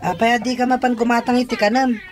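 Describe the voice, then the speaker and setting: A woman's voice: fairly high pitch, flat delivery, quick pace, faint music behind, natural voice. A woman playing a blunt young student and love rival in a radio drama, with an Ilocano accent.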